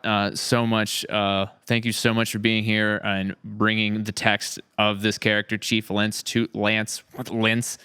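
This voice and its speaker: A man's voice: lowish voice, level tone, measured pace, hardly any pauses, bright, forceful tone, clear, resonant tone, dry recording. A man with a clean, resonant voice hosts a casual interview podcast. His delivery is effusive and appreciative, and the sound is dry and close. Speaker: podcast host and series creator.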